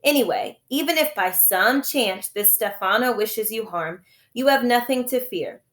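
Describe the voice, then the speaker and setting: A woman's voice: fairly high pitch, animated, a little slow, fairly bright tone. Stuffy male guardian, voiced by a woman reader: dismissive, condescending, echoey room. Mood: weary, stern. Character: dismissive, weary grown man, stuffy family guardian.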